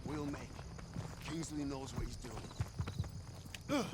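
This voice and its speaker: An actor playing a wry, reassuring rank-and-file soldier in a war video game. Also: male voice, mid-range voice, very slow, very noisy. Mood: wry, hopeful. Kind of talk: dramatic war game acting.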